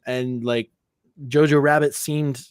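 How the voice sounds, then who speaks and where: Male podcast co-host, mid pitch, profane and heated, dry close mic. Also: fairly even intonation, slow, clear, resonant tone, no room sound. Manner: profane, ranting, lots of fillers. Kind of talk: casual chat.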